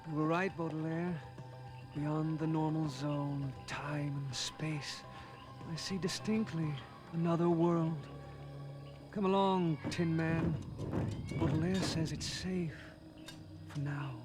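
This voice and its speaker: Sly weirdo cartoon henchman, highish voice, talks real slow n theatrical. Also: steady tone, music in the background. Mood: dreamy, musing. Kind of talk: animated action series dialogue.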